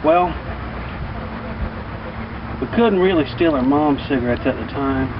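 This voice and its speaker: A man's voice: fairly high pitch, measured pace. Male storyteller, colloquial and rambling, in a dull, distant recording swamped by heavy ambient noise. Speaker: vlogger telling personal stories. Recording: distant mic, muffled, loud outdoor traffic-like noise.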